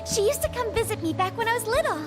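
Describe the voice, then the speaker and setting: Sweet polite rich girl, super high voice, bouncy, music in the back. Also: lively intonation, fast talker. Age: young adult. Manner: soft-spoken and very polite. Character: polite, gentle young woman, a university student and company heir. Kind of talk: video game voice acting. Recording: game cutscene with music behind.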